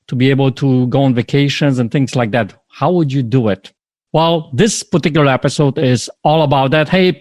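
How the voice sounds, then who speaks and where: A man's voice: mid-range voice, a little mellow, no room sound. A man hosting a podcast interview, upbeat and enthusiastic as he introduces his guest. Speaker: podcast host.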